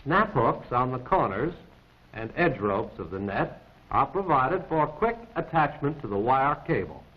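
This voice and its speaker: Male narrator for a 1940s instructional film: formal, precise, impersonal script reading with animated inflection, muffled vintage soundtrack. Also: mid-range voice, a little slow.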